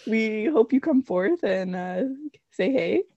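A woman's voice: mid-range voice, lively intonation, takes it slowly, mellow, dry recording, dull audio. A woman co-hosting a casual chat podcast, easygoing and supportive, laughing along with her friend. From Canada. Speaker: podcast co-host.

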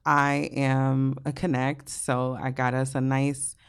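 A woman's voice: a bass voice, little pitch movement, slow, clean tone. A young adult woman from Brooklyn co-hosting a podcast, chatting casually, laughing often and swearing freely as she tells stories. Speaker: podcast co-host. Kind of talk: casual chat.

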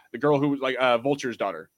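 A man's voice: mid-range voice, fairly quick. A man co-hosting a podcast, chatting casually in a rambling, slangy way. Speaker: podcast co-host. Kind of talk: casual pop culture discussion.

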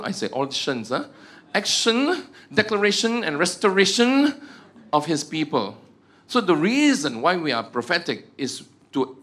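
A man's voice: on the high side, fairly bright tone. Joking Malaysian man teaching a church group. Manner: joking, self-mocking, tags sentences with "ah" and "huh". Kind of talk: humorous teaching talk to a prayer group.

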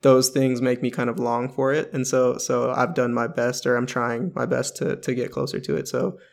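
A man interviewing a guest in a relaxed, casual way, often pausing with ums. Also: mid-range voice, gravelly.

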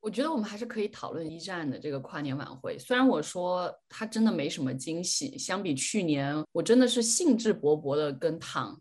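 A woman's voice: lowish voice, lively intonation, fairly quick, few pauses, a little mellow. A woman co-hosting a podcast in a casual, conversational chat style. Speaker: podcast co-host.

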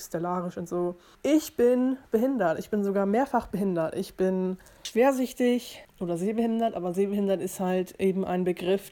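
A young woman from Germany, in her early twenties, speaks in a casual solo podcast style. Her voice sits at a middle pitch. She explains herself in an informal, reflective way, often circling back to put a point more precisely. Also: warm tone. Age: early 20s. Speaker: podcast host. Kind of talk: casual personal monologue to listeners.

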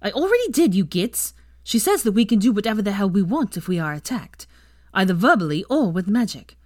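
Woman voicing a fiery, high-pitched outspoken teenage girl. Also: wildly swooping pitch, normal speed, no echo, studio quality. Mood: angry, indignant. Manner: emphatic, blunt, swearing. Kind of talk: audiobook character voice.

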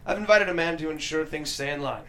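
A man's voice: on the high side, quick pace, sharp tone, slight background noise. A man acting a hard-nosed, threatening industrialist in a period drama table read, firm and confrontational. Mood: menacing, firm.

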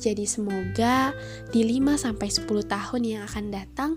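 A woman's voice: fairly high pitch, normal speed, over music. A young woman, talking in a friendly, chatty podcast style, her voice nasal and stuffy from a cold. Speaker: podcast host. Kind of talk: casual solo podcast talk.

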